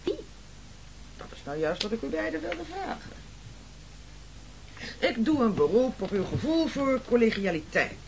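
A man playing a domineering, formal, coolly calculating castaway gentleman in a radio play, declaiming pompously. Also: extremely high pitch, very animated, normal speed, creaky, pauses often, heavily acted. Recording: noisy old radio drama recording.

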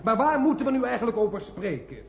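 High-voiced, declaiming politician, echoing hall. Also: male voice, expressive, fast talker, gravelly, full character voice. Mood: formal, defensive. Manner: declamatory, formal. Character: exasperated, long-winded male government politician addressing parliament. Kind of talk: radio play oratory. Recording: echoing hall, noisy crowd, dull old recording.

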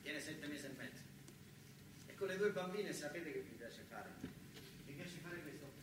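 An actor playing an earnest factory worker and young father, telling his life in a colloquial, halting theatrical monologue with a southern Italian accent. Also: on the high side, expressive, heavy reverb, far from the mic, noisy background, lightly acted. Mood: tender, playful. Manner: colloquial, halting, repeating words for emphasis.

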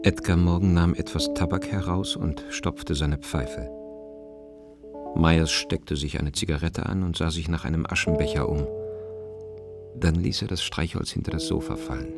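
A man's voice: low-pitched, moderate pace, gravelly. A man narrating a literary radio play as a detached, observant storyteller, with guitar music behind him. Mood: observant, measured. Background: plucked guitar music.

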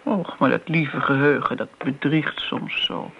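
Creaky-voiced genteel old woman. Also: sits low, wildly swooping pitch, unhurried, heavily acted. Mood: gently chiding. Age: older. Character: elderly genteel woman, reminiscing, self-righteous old family friend. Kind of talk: radio drama acting. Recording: muffled old radio play, background noise.

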